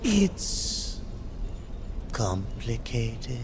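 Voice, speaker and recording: An actor playing a sly, scheming demon merchant in a video game, with a raspy, theatrical, insinuating delivery. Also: male voice, mid-range voice, big pitch swings, extremely slow, a little hiss or hum. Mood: cryptic, bargaining. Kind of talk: video game character acting.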